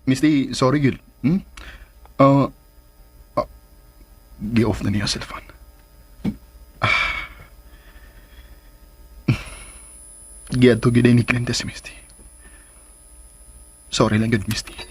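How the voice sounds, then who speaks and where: Busy young businessman, husky, Bisaya Filipino accent, apologetic, radio drama, medium pitch. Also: fairly even intonation, slow, long pauses. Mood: regretful.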